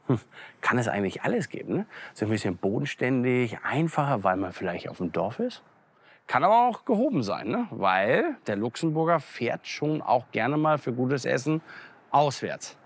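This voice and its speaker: A man's voice: mid-range voice, moderate pace, breathy edge. A German man hosting a restaurant visit, talking casually and asking "ne?" a lot, recorded on a lapel mic. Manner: chatty, lively, frequent "ne?" tags. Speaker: TV restaurant critic host. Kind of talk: casual on-camera chat. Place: restaurant indoors and courtyard.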